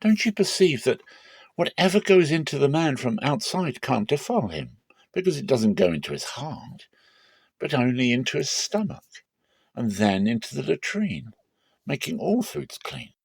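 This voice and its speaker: A man voicing a stern male teacher in a dramatic scripture reading, questioning and deliberate with emphatic delivery. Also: medium pitch, sing-song, vocal fry, husky, no room sound, pitched above the performer's own voice, exaggerated voice. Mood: exasperated questioning.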